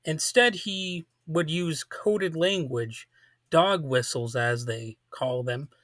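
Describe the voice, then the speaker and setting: Male political commentator, bright forceful voice, slightly high, close dry sound, deliberate emphatic phrasing. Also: no room sound. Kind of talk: political commentary monologue, part scripted.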